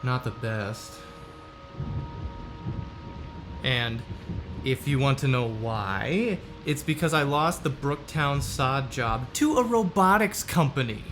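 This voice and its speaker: An actor playing a frustrated, earnest husband in a musical audio drama, speaking in a pressing, wounded way. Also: male voice, medium pitch, expressive, unhurried, over music, natural voice. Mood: hurt, frustrated.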